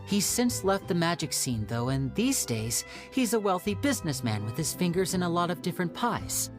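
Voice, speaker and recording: Young game magician, polite schemer, measured, persuasive, lowish voice, expressive intonation. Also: female voice, music in the background. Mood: measured, planning. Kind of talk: English game voice acting.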